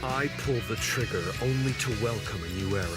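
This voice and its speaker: A man voicing a calm, resolute male rebel soldier with a steady, earnest delivery. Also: mid-range voice, brisk, natural voice. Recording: game audio under loud music.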